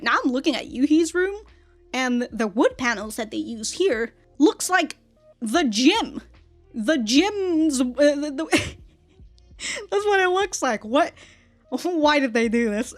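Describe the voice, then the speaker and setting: A woman hosting a casual anime reaction and review, speaking in an animated, exclamatory way and often chuckling as she talks. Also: fairly high pitch. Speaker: reaction video host.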